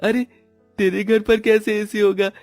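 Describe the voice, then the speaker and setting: A man's voice: high-pitched, little pitch movement, unhurried, a little mellow, faint music behind. A man voicing a boastful rich village boy with a mocking, gloating manner in a cartoon story.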